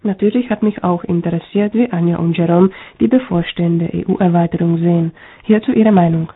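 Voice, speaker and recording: A woman reporter reading scripted radio narration in an even, measured way. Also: on the low side, breathy edge. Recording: tinny, phone-like radio sound.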